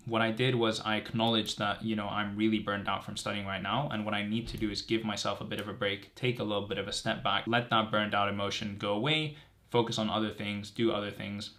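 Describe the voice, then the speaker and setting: A man's voice: on the low side, pushed and bright. A man in his twenties from Greece chatting casually and earnestly as a student vlogger, repeating words for emphasis. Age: 20s. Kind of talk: casual direct-to-camera chat, answering assumptions.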